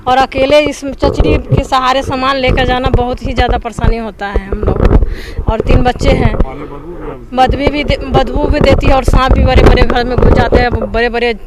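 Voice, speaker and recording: A woman's voice: high-pitched, steady tone, moderate pace. A woman speaking off the cuff as an interviewed resident, making a complaint. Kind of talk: spontaneous complaint to a reporter. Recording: very noisy field recording.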